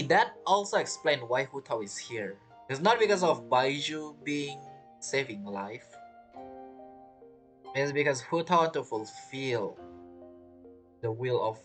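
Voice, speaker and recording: A woman giving casual gameplay commentary, reacting and thinking out loud as she streams. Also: extremely low pitch, animated, unhurried, long pauses, faint music behind. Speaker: game streamer.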